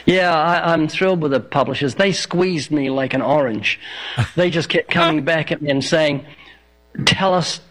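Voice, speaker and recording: Older male guest, slight New Zealand accent, raised pitch, witty self-deprecating storyteller. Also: moderate pace, fairly bright tone, poor audio. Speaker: evangelist and author, radio guest. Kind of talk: interview guest.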